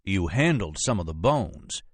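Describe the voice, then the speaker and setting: Southern FBI agent, audiobook, male narrator acting: low, courteous, officious, coolly manipulative, close dry sound. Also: animated, moderate pace, no room sound. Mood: stern. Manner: courteous, turning sharp and officious.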